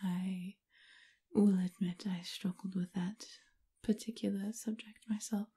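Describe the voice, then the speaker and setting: A woman playing a doting, teasing, refined vampire mistress in an intimate ASMR roleplay, whispery and husky. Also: medium pitch, flat delivery, unhurried, warm tone. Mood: wry, confiding.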